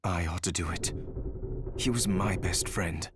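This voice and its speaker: A low-pitched, grave male alchemist in a fantasy game. He talks formally and earnestly, like a protective friend carrying regret, with clear noise behind his voice. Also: a little slow. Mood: grave, regretful. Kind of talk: dramatic video game voice acting. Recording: noisy game sound behind voice.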